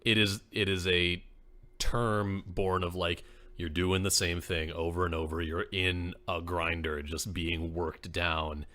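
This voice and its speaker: A man's voice: sits low, flat delivery, a little slow, pushed and bright. A man co-hosting a casual podcast, an actor with a voice people call made for radio, talking earnestly and saying "like" often. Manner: earnest, peppers speech with "like".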